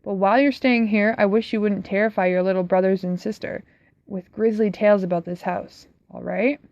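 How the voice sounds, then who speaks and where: A woman's voice: mid-range voice, fairly even intonation, a little fast, darkish tone, no room sound, natural voice. Uncle Clement, genial and firm, read by a woman. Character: genial but firm middle-aged uncle. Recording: slightly dull, dry recording.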